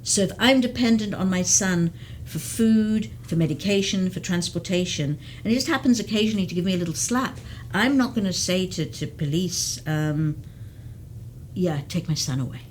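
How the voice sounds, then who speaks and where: Woman, geriatric case manager, lowish voice, earnest, repeats words, faint background rumble. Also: normal speed, low-quality recording. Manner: earnest, explains at length with many restarts. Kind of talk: explanatory interview talk. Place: community TV studio.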